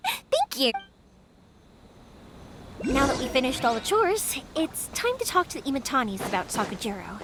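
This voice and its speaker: An actress voicing a cheerful, energetic young woman who runs a family fireworks business. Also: high voice, normal speed, long pauses, background noise. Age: young adult. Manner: bright, energetic and friendly.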